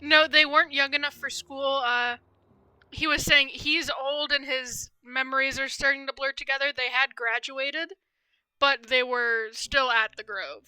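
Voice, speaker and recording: A woman's voice: sits high, steady tone, a little slow, sharp tone, dry recording, rough recording. A woman game streamer giving casual livestream commentary, conversational and thinking aloud. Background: faint game music.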